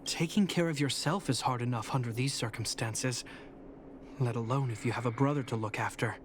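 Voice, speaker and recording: A man voicing an earnest young guard captain in a game, speaking in a steady, reassuring way. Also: mid-range voice, fairly quick, noisy background, natural voice. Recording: game audio with music behind.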